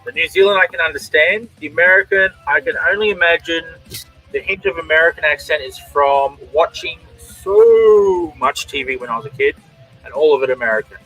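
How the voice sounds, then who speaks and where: A man's voice: fairly high pitch, a little fast, bright, forceful tone, slightly rough, soft background music, poor audio. A man with an Australian accent hosting a drawing stream, chatting casually and reading out viewers' comments. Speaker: comic artist and stream host.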